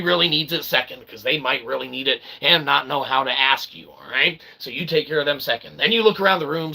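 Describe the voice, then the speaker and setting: Bright, gravelly man rambling to viewers. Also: on the high side. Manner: mock-formal wordy phrasing mixed with slang; repeatedly tags phrases with "okay" and "all right". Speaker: channel host. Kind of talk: rambling solo monologue to viewers.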